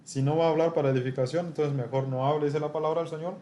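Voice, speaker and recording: A male preacher with a soft, mellow voice teaches from scripture. He speaks haltingly and often checks in with short rhetorical questions. There is faint noise and room ambience behind him. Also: on the high side, steady tone.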